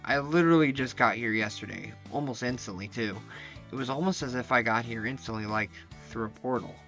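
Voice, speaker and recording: A man voicing a bashful young man who stammers, then breaks into excited yelps, in an amateur visual novel dub. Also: mid-range voice, brisk, soft background music. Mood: explaining.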